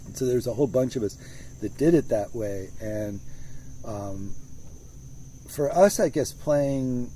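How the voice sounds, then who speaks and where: A man's voice: medium pitch, animated, measured pace, long pauses, dark tone, low-quality recording. A man being interviewed about his music, talking in a thoughtful, rambling way with many restarts, recorded outdoors in the countryside. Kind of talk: relaxed conversational interview. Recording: faint outdoor noise with crickets.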